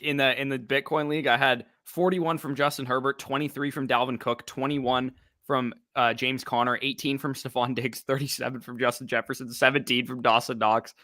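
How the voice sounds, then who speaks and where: A man's voice: mid-range voice, bright, forceful tone, no echo, clean studio sound. A young man co-hosting a casual sports podcast, opinionated and rambling, often laughing. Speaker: podcast co-host.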